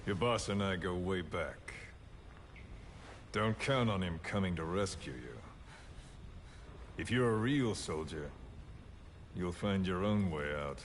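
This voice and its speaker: A man playing a calm, sadistic military interrogator in a video game, speaking deliberately and tauntingly. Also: low voice, a little hiss or hum. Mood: cold taunting.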